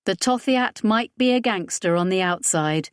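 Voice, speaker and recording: A woman's voice: medium pitch, lively intonation, normal speed, clean tone, no room sound, clean studio sound. A woman reading scripted narration from a science fiction audiobook.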